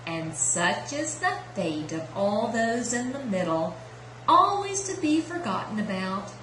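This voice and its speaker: A woman's voice: mid-range voice, very animated, a little slow, fairly bright tone. Woman storyteller in an echoey, noisy room. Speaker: storyteller, puppeteer. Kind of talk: children's story narration. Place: indoor practice room. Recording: echoey room with clear noise.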